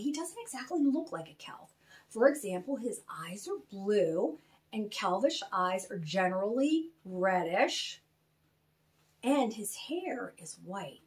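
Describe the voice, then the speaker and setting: A woman reading her own children's story aloud and chatting to young listeners in an animated, expressive manner. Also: mid-range voice. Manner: animated, expressive, spells out names slowly.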